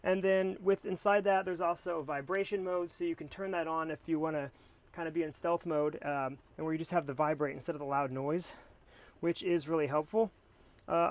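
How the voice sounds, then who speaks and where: An American man talking casually to camera as he reviews a product. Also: on the high side.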